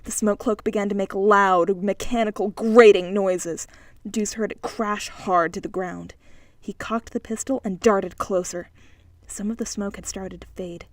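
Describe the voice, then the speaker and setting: A woman's voice: medium pitch, steady tone, a little slow, no room sound. A woman reading an audiobook, narrating scripted fiction in a dramatic style.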